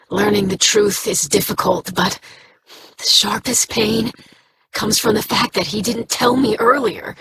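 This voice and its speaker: A woman's voice: mid-range voice, steady tone, takes it slowly, pauses often, background noise. An actress playing an earnest young female alien scholar who speaks in stiff, precise, formal diction. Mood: hurt.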